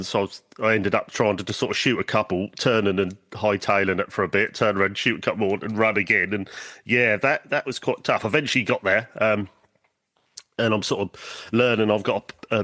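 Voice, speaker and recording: A man's voice: lowish voice, fairly bright tone. A man, stuffy from a cold, chatting hesitantly as a podcast guest with lots of ums and restarts. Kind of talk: casual chat.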